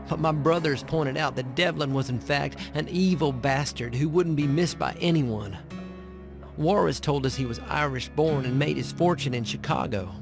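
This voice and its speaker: A man's voice: on the high side, vocal fry. A man playing a pious, earnest young preacher in a western video game, solemn and moralizing. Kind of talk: video game cutscene acting and narration. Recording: music behind the voice.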